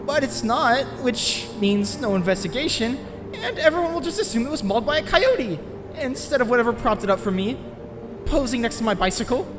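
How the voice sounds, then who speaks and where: Someone voicing an expressive, anxious teenage boy. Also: medium pitch, fairly quick, music in the background, close to an everyday voice. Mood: horrified. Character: Greg, anxious, driven teenage boy narrating his own story. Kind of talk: dramatic first-person narration in a comic dub.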